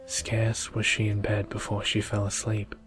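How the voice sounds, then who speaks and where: A soft-spoken man slowly reading a bedtime story in a soothing ASMR style. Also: fairly low pitch, monotone. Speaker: storyteller, video creator. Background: music.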